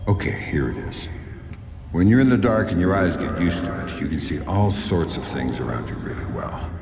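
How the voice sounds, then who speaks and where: An actor voicing a hardened ex-agent, curt and guarded with adults and soft with a child. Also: male voice, sits low, lively intonation, normal speed, gravelly, roomy sound. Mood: gentle. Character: hardened male ex-agent, guarded, gentle father. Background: music.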